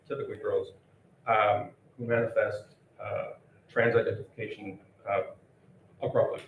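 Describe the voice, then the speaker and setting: A man moderating a public panel discussion, halting and full of fillers. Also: on the low side, echoey room, far from the mic, slight background noise, poor audio. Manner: hesitant, many fillers. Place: lecture hall with audience.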